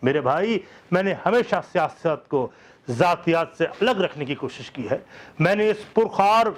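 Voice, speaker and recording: High-voiced man reciting with passion. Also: animated. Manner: swells loud and slow on key phrases, drops low and quiet after. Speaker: host reciting a historic speech. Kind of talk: impassioned oratorical recitation.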